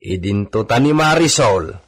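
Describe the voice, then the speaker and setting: Man acting a male stable hand, Ilocano accent, low husky voice, gossipy, obedient, radio drama. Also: expressive, unhurried. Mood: gossipy, concerned.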